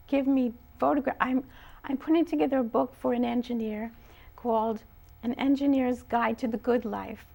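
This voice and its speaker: A woman talking casually as an interview guest, often pausing mid-phrase. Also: on the high side, gravelly, dark tone. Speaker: guest, writer and composer.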